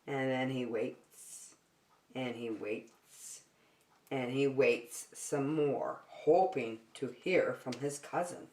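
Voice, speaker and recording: A woman reading a picture book aloud to young children as a circle time teacher, expressive and speaking directly to her listeners. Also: extremely low pitch, takes it slowly, roomy sound. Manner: expressive, addresses young listeners with questions and prompts.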